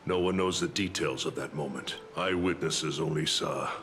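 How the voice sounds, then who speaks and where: An actor playing a grave, stately lord who commands guards in a fantasy game, speaking formally and deliberately. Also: male voice, extremely low pitch, a bit bright, soft background music. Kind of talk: dramatic video game voice acting.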